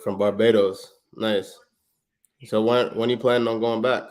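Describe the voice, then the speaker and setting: A man from Trinidad with a somewhat low voice talks in a loose, slangy, friendly way. He stumbles and restarts often while he chats and pitches. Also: level tone, unhurried, darkish tone. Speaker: real estate investor, livestream host. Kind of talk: casual livestream chat and sales phone call.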